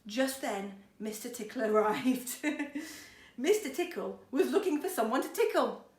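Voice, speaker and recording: A woman's voice: fairly high pitch, sing-song, a little slow. A woman schoolteacher reading a storybook to children expressively, with chatty, encouraging asides. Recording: roomy echo, faint background noise.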